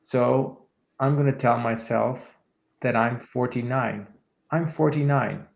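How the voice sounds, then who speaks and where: A man in his fifties co-hosting a podcast, calmly talking listeners through a reflective exercise. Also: medium pitch, slow pace, gravelly. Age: 50s. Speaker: podcast co-host, leadership coach. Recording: echoey room, dull lo-fi sound.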